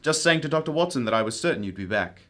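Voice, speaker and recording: A man's voice: medium pitch, expressive, fast talker, background noise, close to an everyday voice. A man playing a cool, exacting detective in an amateur radio drama, speaking in a formal, probing manner. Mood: assured, brisk.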